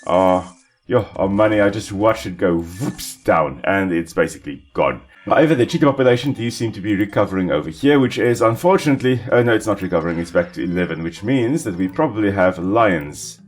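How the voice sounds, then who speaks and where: A man, an Afrikaans speaker, calmly explaining ecology while playing a simulation game, with small restarts. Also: on the low side, fairly quick. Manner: explanatory, calm, with small self-corrections. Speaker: ecologist gaming host. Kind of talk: educational let's-play commentary.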